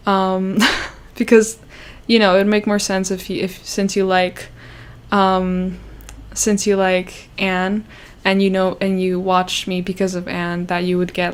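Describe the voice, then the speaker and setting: A woman's voice: mid-range voice, smooth. A young woman from Canada chatting on a livestream, rambling with many fillers and restarts and sounding self-conscious. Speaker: YouTuber hosting a livestream. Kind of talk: casual livestream chat.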